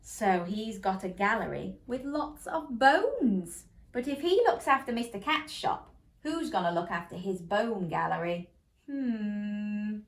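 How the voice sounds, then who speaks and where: A woman's voice: mid-range voice, animated, unhurried. A woman reading a children's bedtime story aloud, playful and interactive with young listeners. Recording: roomy echo.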